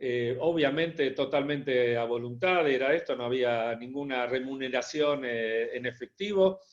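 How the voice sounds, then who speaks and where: Hesitant rabbi on a dull video call. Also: male voice, mid-range voice, moderate pace. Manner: hesitant, with many restarts. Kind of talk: personal testimony at an online gathering.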